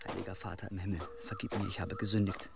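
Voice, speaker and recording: An actor playing a devout but evasive young monk, curt and earnest, in a radio drama. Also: male voice, sits low, flat delivery, quick pace, vocal fry, very noisy. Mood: hushed, penitent. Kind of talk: German radio drama acting.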